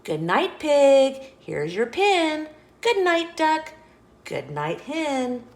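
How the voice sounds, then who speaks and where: A woman's voice: high-pitched, very animated, very slow, reverberant, voice pushed up, heavily acted. A woman voicing a small, kind, welcoming truck from a children's storybook, in a cheerful, bouncy manner. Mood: fond farewell.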